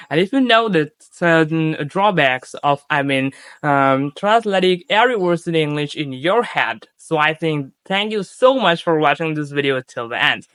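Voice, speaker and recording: Earnest young Bangladeshi man, fairly high voice. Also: a bit bright, clean tone, dry recording. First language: Bengali. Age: young adult. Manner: earnest, repetitive, often restarting and correcting himself. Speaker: English-speaking coach, channel host. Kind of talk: casual tips vlog.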